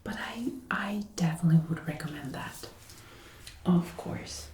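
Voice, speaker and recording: Woman doing soft whispery asmr roleplay, really slow, mellow breathy voice, close up ear to ear. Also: lowish voice, reverberant. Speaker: ASMR roleplayer playing a personal outfit designer. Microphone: close binaural microphone, ear to ear.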